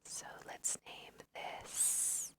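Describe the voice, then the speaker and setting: A woman whispering an ASMR let's play of a video game, musing softly as she narrates and makes choices. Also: drawn-out words. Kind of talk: ASMR, whispered gaming commentary and read-aloud dialogue.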